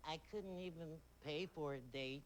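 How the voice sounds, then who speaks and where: A man's voice: on the high side, normal speed, slight background noise. A man talk show guest, speaking from backstage in a wry, self-mocking way.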